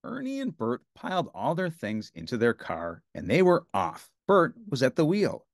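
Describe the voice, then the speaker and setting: Male narrator, mid-pitched, children's read-aloud, highly animated, storybook style. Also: moderate pace, no room sound.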